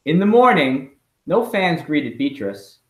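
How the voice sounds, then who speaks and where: A middle-aged man, a school principal, reading a storybook aloud expressively and pausing with chatty asides and questions for children. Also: a little high, big pitch swings. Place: indoors at home. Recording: echoey room in a home.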